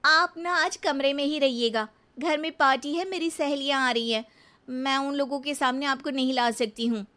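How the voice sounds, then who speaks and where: A woman's voice: high-pitched, normal speed, sharp tone. A woman voicing a spoiled, snobbish young daughter-in-law in a cartoon story, with a haughty, complaining manner. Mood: dismissive.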